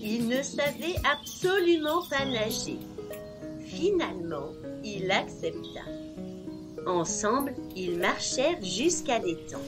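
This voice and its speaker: A woman narrating fairy tales for small children in an expressive, deliberate scripted style. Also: a little high. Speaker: children's storyteller. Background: music.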